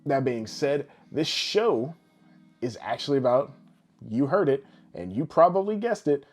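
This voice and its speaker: A man's voice: mid-range voice, lively intonation, unhurried, soft background music. A man co-hosting a podcast with casual, jokey, rambling showman patter. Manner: boisterous, rambling, tongue-in-cheek showman patter. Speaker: podcast co-host.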